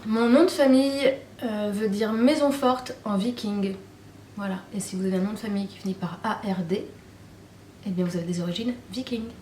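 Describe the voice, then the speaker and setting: A woman's voice: medium pitch, moderate pace, a little mellow, recorded from a distance, slight background noise. A woman in her thirties from Normandy, chatting casually to camera as a beauty vlogger, gushing and repeating herself with many fillers. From Normandy, France. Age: 30s. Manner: gushing and repetitive, trails off with fillers. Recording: echoey room, off-mic.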